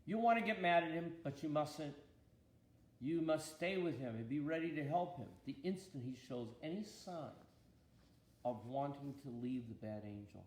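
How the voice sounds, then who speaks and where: A man's voice: fairly high pitch, expressive, normal speed, close to an everyday voice. Man reading as a patient, pensive God, echoey room. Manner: pensive, reassuring, explanatory. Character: thoughtful, patient God explaining plans to his angels.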